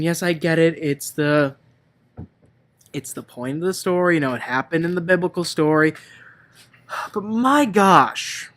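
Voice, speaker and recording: A man's voice: high-pitched, pushed and bright. A man co-hosting a casual movie-review chat, talking in an exasperated, ranting, sweary way. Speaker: podcast co-host.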